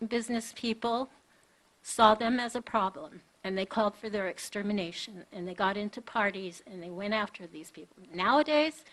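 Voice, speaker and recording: A woman of mid pitch speaks at a podium microphone in a meeting chamber. She makes an earnest, pleading public comment. She halts often and pauses between her points. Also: fairly bright tone, rough recording.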